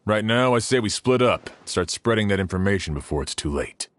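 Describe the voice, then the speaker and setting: An actor playing a dutiful, pragmatic guard captain in a video game, sober and businesslike. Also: male voice, fairly low pitch, animated, fairly quick, close to an everyday voice. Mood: serious, resolved.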